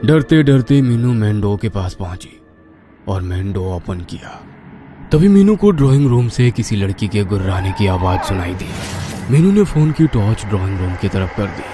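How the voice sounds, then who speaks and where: A man narrates a horror tale dramatically. His voice sits low and swings widely in pitch. Music plays clearly underneath his scripted storytelling. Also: expressive, normal speed, dark tone. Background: clear background music.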